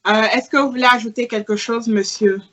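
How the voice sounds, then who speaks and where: A woman's voice: fairly high pitch, steady tone, fairly quick, fairly bright tone. Woman host asking polite questions. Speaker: interviewer host. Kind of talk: hosting an online interview.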